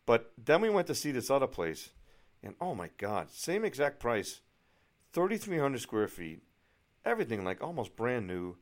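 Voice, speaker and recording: A man's voice: medium pitch, very animated, normal speed. A middle-aged American man vlogging in a casual, anecdotal way, rambling and exasperated, with some mild swearing. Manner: rambling, exasperated, mildly profane.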